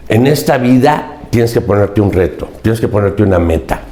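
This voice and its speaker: Older Mexican man, low, roomy sound. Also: animated, moderate pace, warm tone. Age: 70s. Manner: earnest, emphatic, repeats key phrases. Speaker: podcast guest. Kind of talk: reflective interview storytelling.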